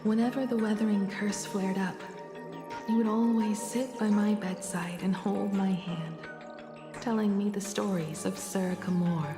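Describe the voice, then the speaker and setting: A woman playing a composed, tender grown daughter in a video game, measured and reflective in her delivery. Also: mid-range voice, steady tone, natural voice. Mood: warm, reflective. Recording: roomy echo, music behind. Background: music.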